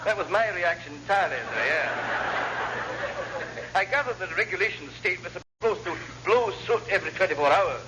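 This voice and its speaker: An actor playing a cheerfully apologetic, blithely incompetent naval lieutenant who rambles and repeats himself in an old radio sitcom. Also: male voice, sits high, animated, brisk. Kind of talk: classic radio sitcom acting. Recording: noisy old radio comedy recording.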